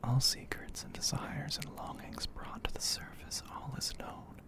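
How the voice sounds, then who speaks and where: A man narrating an ASMR story in a breathy, hushed whisper, poetic and full of pauses, close to the microphone. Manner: slow, hushed, poetic delivery with frequent pauses.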